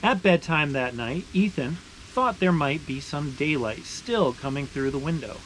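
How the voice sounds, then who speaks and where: A man's voice: a little high, a little slow, rough recording. Man reading a children's story, background noise. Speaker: storyteller reading a picture book aloud. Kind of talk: children's read-aloud narration. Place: beside a boiling maple sap evaporator. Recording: steady ambient background noise.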